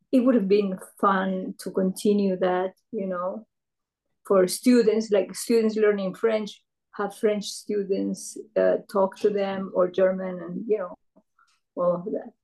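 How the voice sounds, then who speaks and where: An older woman from Peru, a retired professor, recalling her career in an oral history interview, speaking thoughtfully with many pauses and fillers. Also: medium pitch, fairly even intonation, darkish tone, poor audio.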